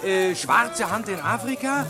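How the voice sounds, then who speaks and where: A squeaky, effusive fan. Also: male voice, expressive, a little slow. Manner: effusive, fussy. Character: gushing, excitable man who loves crime novels. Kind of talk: 1960s radio drama acting. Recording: old radio play with music behind.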